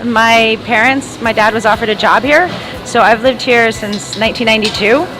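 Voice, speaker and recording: A woman in her thirties talks easily and casually, her speech full of "like" and "you know". Her voice is a little high, and music plays clearly behind her. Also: steady tone, moderate pace, poor audio. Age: 30s. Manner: chatty, lots of "like" and "you know". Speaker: interviewee, business owner. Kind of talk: casual chat.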